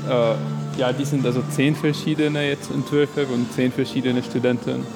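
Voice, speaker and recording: A male architecture student explaining his class project in hesitant, halting German at an exhibition. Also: medium pitch, flat delivery. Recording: music playing in background.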